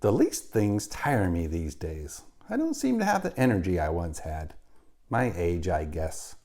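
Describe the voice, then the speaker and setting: A man voicing a polite, wise female spider who speaks in a measured way. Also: low voice, wildly swooping pitch, darkish tone, heavily acted. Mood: gentle.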